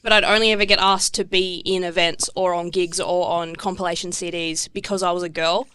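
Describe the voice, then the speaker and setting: A woman's voice: fairly low pitch, steady tone, brisk, a bit bright, clear, resonant tone. A woman from Tasmania, a musician guest chatting casually on a podcast, swearing freely, laughing often and poking fun at herself. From Tasmania, Australia.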